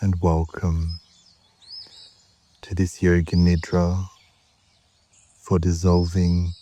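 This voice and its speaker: A man guiding a meditation in a calm, measured way, with short phrases, long pauses and a soft, at times breathy voice. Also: low voice, a little hiss or hum. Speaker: yoga nidra meditation guide. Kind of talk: slow guided meditation narration.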